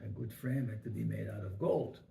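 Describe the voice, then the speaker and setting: An older man from Israel telling his family history in an oral history interview, halting and full of fillers and restarts. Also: on the low side, creaky, dark tone. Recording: echoey room, faint noise.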